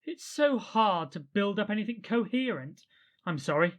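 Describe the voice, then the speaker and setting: A man's voice: high voice, animated, normal speed. A man playing a bewildered, plaintive human adrift in space in an amateur audio drama, earnest and apologetic.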